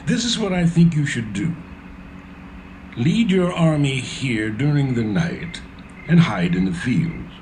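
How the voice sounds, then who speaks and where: Zebul, a lively scornful ruler. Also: male voice, mid-range voice, slow pace, slight background noise, poor audio. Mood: scheming. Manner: mocking, scornful. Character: scheming town ruler Zebul, adult man.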